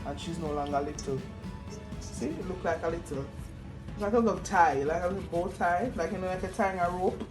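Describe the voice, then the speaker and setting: A young woman with a Jamaican accent chatting casually in Patois as a teasing, animated cooking co-host. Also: on the low side, fairly quick, reverberant. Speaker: cooking vlog co-host, the daughter. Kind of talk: casual kitchen chat. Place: home kitchen. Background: music.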